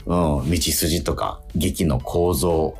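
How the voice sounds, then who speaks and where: A man hosting a casual radio talk, posing quiz questions, haltingly repeating himself and slowing down to stress key phrases. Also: a bass voice, darkish tone, faint music behind.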